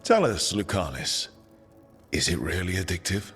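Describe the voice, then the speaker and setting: Game lead: reassuring adventurer, fairly low voice, casual and wry, prominent music underneath. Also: male voice, expressive, moderate pace, a bit bright. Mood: lightly teasing. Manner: casual, reassuring, lightly teasing. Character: easygoing, supportive adventurer hero with dry humour. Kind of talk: video game dialogue acting.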